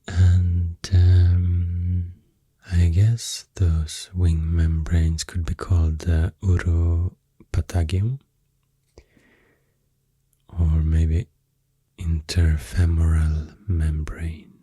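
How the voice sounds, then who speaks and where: A woman with a deep, breathy voice and a Swedish accent murmurs softly in ASMR style. Her delivery is extremely slow and hesitant, with little rise or fall. It is whispery and very close to the mic. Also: level tone, dry recording. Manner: hesitant, unhurried. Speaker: ASMR artist. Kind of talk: soft-spoken ASMR rambling. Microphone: close microphone. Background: soft pencil sounds.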